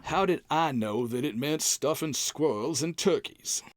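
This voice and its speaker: A man's voice: on the high side, measured pace, dry recording, lightly acted. An American man playing a bumbling, cheerful packrat husband in old-time radio comedy style, folksy and hemming as he makes excuses. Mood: folksy, chatty.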